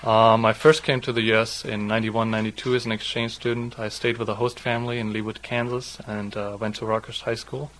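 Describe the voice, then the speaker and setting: A man in his twenties from southwest Germany, a native German speaker with a German accent, speaking in a halting, explanatory way. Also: fairly low pitch, flat delivery, a bit bright, a little hiss or hum, rough recording. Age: 20s. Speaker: interviewee, doctoral student. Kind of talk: read passage, then unscripted personal account.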